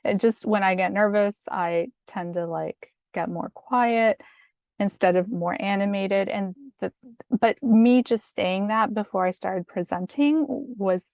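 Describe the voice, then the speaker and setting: A calm, soft-spoken woman giving chatty career advice over slides, with fillers and little laughs. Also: mid-range voice, creaky, no room sound. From family from Mexico. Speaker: academic career coach. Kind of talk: casual instructional talk over slides.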